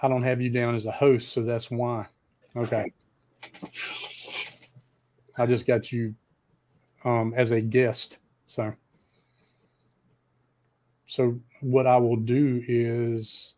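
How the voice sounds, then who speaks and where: A man's voice: medium pitch, slow, long pauses. Casual, profane man hosting a live stream. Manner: profane, rambling and excitable. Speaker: podcast host.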